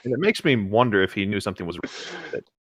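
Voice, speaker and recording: Lively, low-pitched man, playful and chatty. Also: fast talker, dry recording, clean studio sound. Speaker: co-host reading and riffing on internet horror stories. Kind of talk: casual read-aloud with banter.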